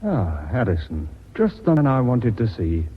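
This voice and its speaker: An actor playing a brash, flirtatious, self-important British sales executive in a radio drama, with a blustering, smooth-talking manner. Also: male voice, medium pitch, very animated, moderate pace, noisy background, natural voice. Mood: indignant, blustering. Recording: muffled old radio recording.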